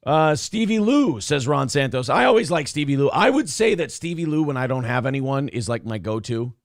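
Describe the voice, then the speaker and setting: Casting note: male talk-show host, hoarse and raspy, mid-pitched, rambling and profane, dry close-mic studio sound. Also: lively intonation, brisk, no echo. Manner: rambling, restarts, swears freely. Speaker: radio show host reading live chat. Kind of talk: casual chat.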